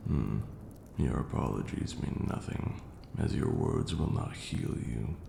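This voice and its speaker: Guy doing a stern old vampire lord asmr, super gravelly half-whisper, formal and aloof, echoey with noise behind it. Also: lively intonation, mellow, heavily acted. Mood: stern, scolding. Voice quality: very deep, gravelly, breathy near-whisper. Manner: formal, haughty, slow scolding lecturing delivery. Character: stern aloof ancient male vampire lord, grudgingly caring. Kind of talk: ASMR roleplay. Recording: roomy echo, clear background noise.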